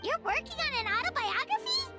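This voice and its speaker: A woman voicing a small, excitable, gluttonous childlike fairy companion with a squeaky voice in a video game. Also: a little fast, full character voice. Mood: eager. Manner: exclaiming, refers to self in third person. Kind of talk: English video game voice acting. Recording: music behind the voice.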